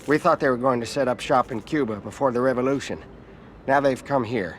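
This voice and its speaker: An actor playing a shaken, anxious middle-aged senator in a video game cutscene. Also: male voice, mid-range voice, fairly quick, a little hiss or hum, natural voice. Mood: hesitant.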